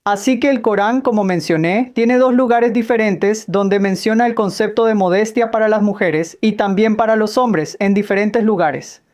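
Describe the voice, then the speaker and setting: A woman leads a question-and-answer lecture with her voice at a middle pitch. She explains points patiently and turns questions back to her listeners. Now and then she drops a light joke. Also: moderate pace, few pauses, a little mellow. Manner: patient, explanatory, quizzes the audience with light jokes. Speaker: speaker answering audience questions on Islam. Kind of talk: Q&A lecture in Spanish voice-over.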